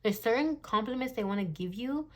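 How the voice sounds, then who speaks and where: A woman's voice: fairly high pitch, animated, a little fast, a little mellow. A woman in her twenties giving a casual tarot reading to camera, chatty and blunt, swearing freely and laughing often, recorded on a phone. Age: 20s. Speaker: tarot reader.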